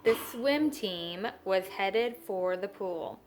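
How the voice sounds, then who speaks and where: A woman reads a children's story like a teacher, pausing to explain it in simple asides. Her voice is mid-pitched, with noticeable noise in the recording. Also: expressive.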